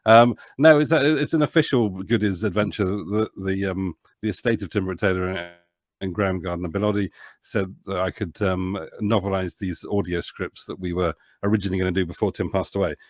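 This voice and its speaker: A man's voice: deep voice, steady tone, a little fast, no room sound, studio quality. A man chatting casually as an interview guest, hesitant and rambling, often interrupting himself. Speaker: podcast guest, author and producer.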